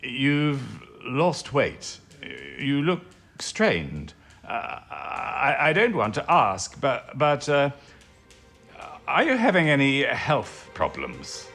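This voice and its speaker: An actor playing a condescending, penny-pinching intelligence administrator in a wartime drama, with a patronising, old-fashioned manner. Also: male voice, medium pitch, wildly swooping pitch, takes it slowly, a bit bright, faint music behind, exaggerated voice. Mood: grave, awkward. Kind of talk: period audio drama acting.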